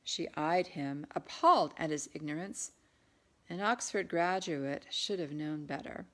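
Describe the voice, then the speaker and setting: A woman with a slightly low voice narrates a historical novel. She reads scripted prose as the author of the book. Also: a little slow. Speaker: author reading their own novel.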